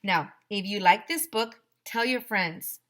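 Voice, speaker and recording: A woman's voice: mid-range voice, unhurried, fairly bright tone. A woman teacher reading a children's story expressively and chatting directly to young listeners. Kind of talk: expressive children's story read-aloud and chat.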